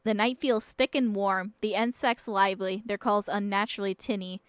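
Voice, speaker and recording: A woman reading aloud the measured, descriptive second-person narration of an eerie visual novel. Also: medium pitch, steady tone, clean tone, no room sound, studio quality, close to an everyday voice.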